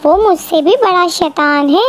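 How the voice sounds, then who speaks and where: A woman's voice: very high voice, unhurried. A woman voicing a lazy, scheming crow wife who nags and taunts in a children's cartoon. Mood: scornful, scheming. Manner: bossy, taunting. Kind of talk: Hindi children's cartoon acting.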